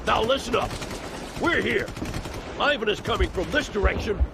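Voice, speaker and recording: Gruff american colonel giving orders, gravelly but pitched high, battle noise behind. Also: male voice, lively intonation, moderate pace. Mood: stern, commanding. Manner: terse, authoritative. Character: brusque, commanding American army colonel. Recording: battlefield noise, gunfire and artillery.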